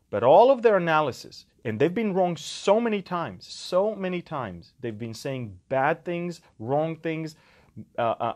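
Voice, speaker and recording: A middle-aged man with a medium-pitched voice speaks as a commentator addressing his viewers directly. He is emphatic and opinionated, often repeating words to drive a point home. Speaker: ministry leader giving news update. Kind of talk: opinionated current-events commentary to camera. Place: bright furnished room indoors, seated by a window.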